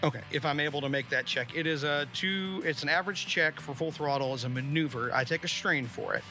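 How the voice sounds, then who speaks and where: A man playing a tabletop game, chatting casually in an excitable, expressive way. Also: a little high, a little fast, faint music behind.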